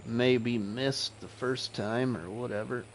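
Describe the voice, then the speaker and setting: A man hosting a casual gaming livestream, chatting to viewers in a relaxed, rambling way with fillers. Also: mid-range voice, a little slow, a little hiss or hum. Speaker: livestream host.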